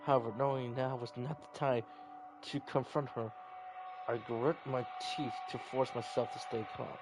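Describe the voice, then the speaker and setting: Gravelly man reading over game music. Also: medium pitch, steady tone, moderate pace. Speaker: gaming video maker reading a visual novel aloud. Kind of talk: dramatic read-aloud with offhand reactions.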